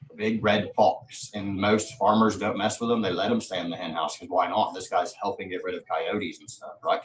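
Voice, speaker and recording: A man hosting a livestream, rambling casually in a boastful way with plenty of swearing. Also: sits low. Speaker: livestream host. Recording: roomy, distant mic, faint noise.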